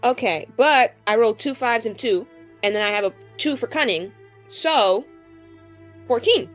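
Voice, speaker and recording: A woman's voice: fairly high pitch, expressive, a little slow, fairly bright tone. A woman playing a tabletop roleplaying game, improvising casually in a playful, rambling, joking way. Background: music behind the voice.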